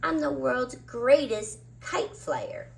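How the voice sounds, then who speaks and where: A woman's voice: high voice, wildly swooping pitch, takes it slowly, vocal fry, pitched above the performer's own voice, exaggerated voice. A woman voicing a smug, boastful pet beagle from a storybook, with emphatic delivery.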